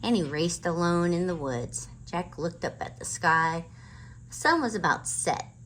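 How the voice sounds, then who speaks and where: A woman's voice: on the low side, expressive, a little slow, a bit bright, poor audio. A woman hosting a children's storytime and reading a chapter book aloud. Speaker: storytime host and reader.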